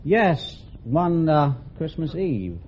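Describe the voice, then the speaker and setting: A man's voice: fairly high pitch, big pitch swings, slow, a little mellow. An American man, a plain-spoken serviceman, talking as a contestant on a quiz show. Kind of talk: anecdote telling on a quiz show. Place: stage before a live studio audience. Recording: dull vintage radio recording, faint noise.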